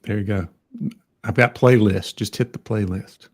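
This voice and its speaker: Calm, soothing Southern man. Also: on the low side, moderate pace. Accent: Southern American. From American South. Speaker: guest on a livestream. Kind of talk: casual chat.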